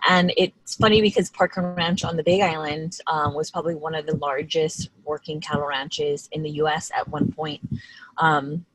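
Low-voiced woman from Hawaii. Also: steady tone, moderate pace, no echo, rough recording. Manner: rambling, many fillers and restarts. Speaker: guest. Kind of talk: casual interview conversation.

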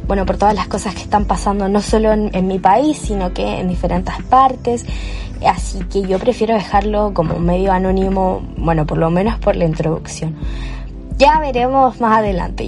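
A chatty young Chilean woman hosts her own podcast, rambling happily and improvising as she goes. Her voice sits at an ordinary pitch, and soft music plays faintly behind her through a modest home microphone. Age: young adult. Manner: chatty and cheerful, with fillers and restarts. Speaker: podcast host. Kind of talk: improvised solo podcast monologue. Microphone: cheap home microphone.

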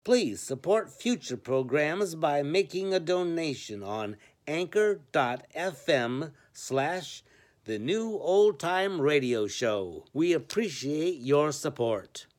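A man's voice: a little high, sing-song, takes it slowly, darkish tone. A man reading a scripted promotional announcement as a show's announcer. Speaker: show announcer.

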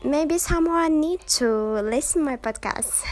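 A woman in her twenties from Kazakhstan, a native Kazakh speaker with a Kazakh accent, hosting a casual solo podcast and pausing often to search for words. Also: sits high. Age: 20s. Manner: halting, searching for words with many fillers. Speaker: podcast host.

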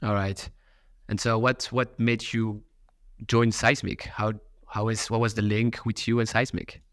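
A man's voice: fairly low pitch, flat delivery, unhurried, smooth, no room sound. Podcast host man, dry close sound. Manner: reads intro and ad brightly, then asks loose rambling questions. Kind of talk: podcast intro and interview.

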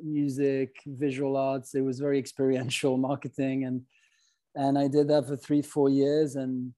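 A man's voice: mid-range voice, completely flat delivery, normal speed, mellow. A middle-aged man from French-speaking Switzerland telling his own story as an interview guest, in a hesitant, reflective, conversational way. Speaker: guest, company founder.

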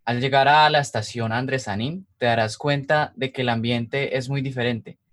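A man's voice: mid-range voice, steady tone, moderate pace, a bit bright. A man with a Colombian accent from Cali, hosting and narrating an informal podcast. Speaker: podcast host.

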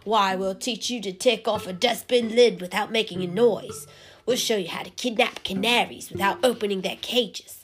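Gang boss alley cat, bullying and slow-spoken, read by a woman, storybook with music bed. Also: medium pitch, natural voice. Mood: boastful. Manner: bullying, mocking, loud and drawn out. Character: huge gruff one-eyed male alley cat boss. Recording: storybook reading with background music.

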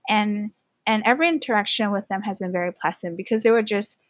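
A woman's voice: medium pitch, quick pace, no echo. Young woman, earnest guest, lots of "like", dry close recording. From Hong Kong. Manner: earnest, many fillers like "you know" and "like". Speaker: guest, community farm organizer. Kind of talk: casual interview conversation.

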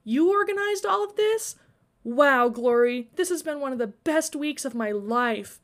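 A woman voicing a sweet-natured, excitable, gushing girl in a story. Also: sits high, measured pace, pitched above the performer's own voice. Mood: moved, delighted.

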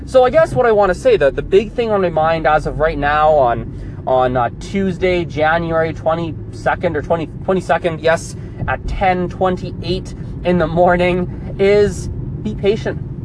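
A man's voice: fairly high pitch, background noise. Chatty man talking on the move. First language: Gujarati. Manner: rambling, talks while on the move. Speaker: podcast host. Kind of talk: casual chat. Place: home, then driving in a car. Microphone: phone.

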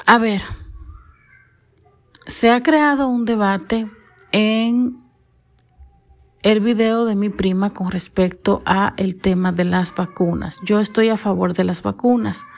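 A woman from the Dominican Republic hosts her own podcast, speaking unhurriedly on her own. Her diction is careful and formal, polished by training in broadcasting, and her pitch sits in the ordinary middle range for a woman. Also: slow pace, gravelly, pauses often. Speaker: podcast host. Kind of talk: solo podcast monologue.

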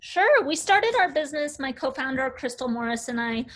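A woman in her forties, an agency founder, telling her career story in a relaxed interview with frequent "uh"s. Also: high voice, a little fast. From United States. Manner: chatty, fluent storyteller with filler "uh"s. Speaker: agency CEO, guest. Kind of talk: casual interview talk.

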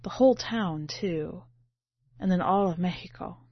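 Female short-story narrator, lower register, roomy and slightly dull podcast sound. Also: a little slow, husky. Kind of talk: literary audiobook-style narration. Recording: muffled, roomy home recording.

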